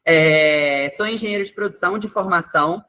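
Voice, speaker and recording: A man's voice: high-pitched, moderate pace, bright, forceful tone. A young man hosting an online webinar, chatty, with frequent fillers and tag questions. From Minas Gerais, Brazil. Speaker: webinar host, experience coordinator. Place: online video call.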